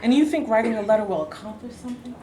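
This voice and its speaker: A woman's voice: fairly high pitch, racing, warm tone, close to an everyday voice. Lady reading a play as fiery no-nonsense wife, challenging, echoey room, bit of hiss. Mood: angry. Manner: confrontational, challenging. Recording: echoey room, faint noise.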